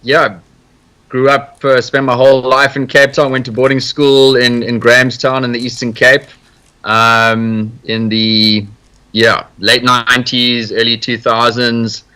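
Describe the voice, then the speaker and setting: A man's voice: medium pitch, little pitch movement, a little slow, sharp tone, clear, resonant tone. A man in his thirties with a South African accent, a guest on a video call who talks steadily with fillers. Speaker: guest, party leader.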